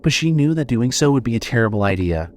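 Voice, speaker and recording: A man narrating a scripted horror story as storyteller, measured and suspenseful. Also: medium pitch, big pitch swings, a little mellow, slight background noise.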